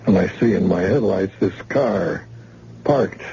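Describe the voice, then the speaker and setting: A gravelly, shaken shooting witness. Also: male voice, low-pitched, warm tone. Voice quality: gravelly, halting and hesitant. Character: wounded witness man, shaken and scared. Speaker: shot crime witness in hospital. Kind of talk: vintage radio drama acting. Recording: dull lo-fi old radio recording with faint hiss.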